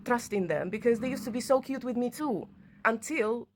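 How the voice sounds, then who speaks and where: A woman's voice: fairly high pitch, normal speed, a little hiss or hum. Woman telling her personal story. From Latvia. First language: not English. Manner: earnest, non-native phrasing. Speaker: vlogger telling own story. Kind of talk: personal account to camera, conversational.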